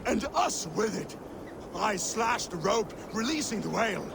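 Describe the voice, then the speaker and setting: A man's voice: high-pitched, lively intonation, measured pace, creaky, full character voice. A man playing a weathered Viking whaler who tells a sea yarn in an earnest, dramatic way in a video game. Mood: awed, suspenseful. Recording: loud sea and boat noise.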